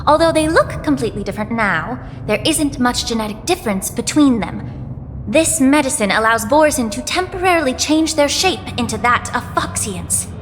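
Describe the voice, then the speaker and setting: A woman voicing a calm young alchemist in a game, explaining things in a gentle, measured way. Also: fairly high pitch, slight background noise, close to an everyday voice. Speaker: game character. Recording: game audio with long reverb, music.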